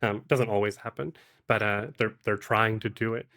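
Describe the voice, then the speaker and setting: A man talking conversationally as a podcast guest and game master, explaining things in a halting, thoughtful way. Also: on the low side, steady tone, fairly bright tone. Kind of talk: conversational interview talk.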